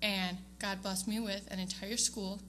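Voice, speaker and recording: A woman's voice: medium pitch, little pitch movement, a little slow, pushed and bright, soft background music. A young woman giving a casual, hesitant speech to her church congregation, with frequent ums and small laughs. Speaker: student giving award speech. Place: church sanctuary.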